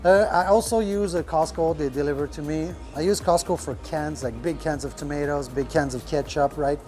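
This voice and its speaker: Man talking shop, halting. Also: on the high side, music in the background. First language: French. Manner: rambling, many fillers, searches for words. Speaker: restaurant owner. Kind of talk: casual podcast talk. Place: restaurant kitchen.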